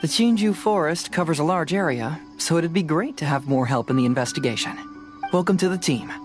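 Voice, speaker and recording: A man voicing a sharp young male detective in a video game, speaking in a measured, deliberate way as he reasons things out. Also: fairly high pitch, lightly acted. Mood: analytical. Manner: measured, deliberate deductive speech. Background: game soundtrack music.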